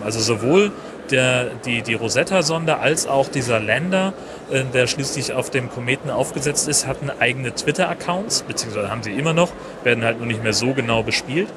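A man hosting his podcast in a chatty, relaxed way with many fillers and restarts, recorded outdoors at a busy conference venue. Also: mid-range voice. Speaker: podcast host. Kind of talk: casual solo podcast recap. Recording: noisy outdoor background. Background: crowd and traffic ambience.

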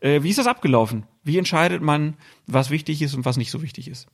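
A man's voice: on the high side, quick pace. A male podcast host leading a casual chat with a jokey, teasing manner.

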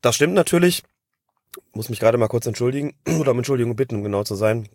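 A man's voice: medium pitch, lively intonation, fast, a little mellow, no echo, studio quality. A man with a slightly congested voice from a cold, giving casual, wordy, considered football commentary on a podcast. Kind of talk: casual analytical podcast talk.

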